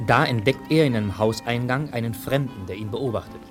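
An actor as the sober narrator of a radio crime drama, reading scripted narration. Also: male voice, medium pitch, a little fast, music in the background, close to an everyday voice. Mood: suspenseful. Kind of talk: scripted present-tense narration.

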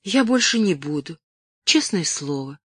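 A woman's voice: on the low side, very animated, breathy edge, no room sound, clean studio sound, heavily acted. Woman voicing a timid, wistful young actress. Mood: meek, apologetic. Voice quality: trembling, frightened little voice. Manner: quiet, meek, halting.

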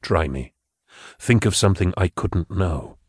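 A refined, well-educated middle-aged telepath, polite and measured, keeping his deep voice low and hushed, as the narrator plays him. The recording is dry and close. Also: animated, breathy edge, no echo, exaggerated voice. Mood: calming.

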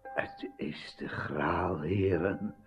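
An actor playing a solemn, ailing old king in a radio drama, speaking gravely and haltingly. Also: sits low, huge pitch swings, music in the background, full character voice. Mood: reverent. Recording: dull lo-fi old radio recording.

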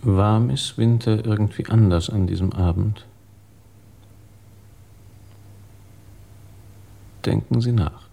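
A sober investigator in a radio play speaks in a low, husky voice. He is terse and probing, with a dark tone and little ornament. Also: normal speed. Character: sober male police investigator questioning a witness. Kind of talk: German radio drama acting.

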